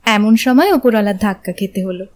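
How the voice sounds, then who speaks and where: An actress playing a gracious, self-possessed young woman in an audio drama, with a smooth, rounded voice and polite manner. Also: mid-range voice, lively intonation, fairly quick, darkish tone, natural voice. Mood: light, explaining. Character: poised, gracious, lightly amused young woman. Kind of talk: Bengali audio drama acting.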